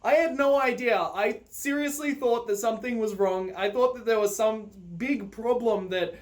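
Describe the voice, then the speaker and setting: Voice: mid-range voice, moderate pace. A vlogger telling personal stories to viewers in a rambling, informal, sweary way, often ready to laugh. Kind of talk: casual personal storytelling to viewers.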